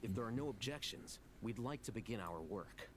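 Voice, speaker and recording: A man's voice: on the low side, expressive, a little fast, noisy background, lightly acted. An actor playing a composed, blunt male scholar in a video game, formal and matter-of-fact.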